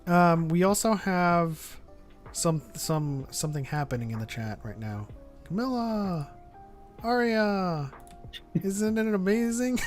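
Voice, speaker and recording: A man with a fairly high voice hosts a relaxed fan stream. He chats informally with co-hosts and viewers. Faint music plays underneath. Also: slow pace. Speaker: host of a fan livestream. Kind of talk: casual group discussion and reading viewer chat.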